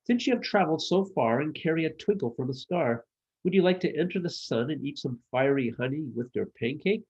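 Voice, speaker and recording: A man's voice: on the high side, animated, darkish tone, breathy edge, dull audio, pitched above the performer's own voice. A man voicing a frantically busy sunbeam bee in a children's storybook, chattering in zippy phrases. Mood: curious, inviting. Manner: rapid, buzzy alliterative chatter.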